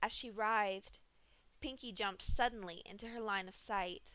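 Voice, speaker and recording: Bright-voiced woman reading a story. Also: mid-range voice, measured pace, no room sound, rough recording. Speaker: narrator. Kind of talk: reading fan fiction aloud.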